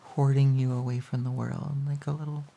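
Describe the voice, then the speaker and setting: A woman playing a playful, pouty, affectionate girlfriend in an intimate romantic audio roleplay, speaking softly and hesitantly with a breathy voice. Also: very deep voice, flat delivery, a little fast, heavily acted. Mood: tender, reassured. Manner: soft, giggly, hesitant, cooing.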